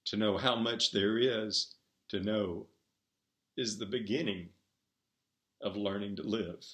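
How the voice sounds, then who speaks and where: A man reads the part of a poor elderly sister in a story. She is warm and wise, speaking slowly in plain, gentle, encouraging words. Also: on the low side, fairly even intonation, pitched below the performer's own voice. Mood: gentle, earnest.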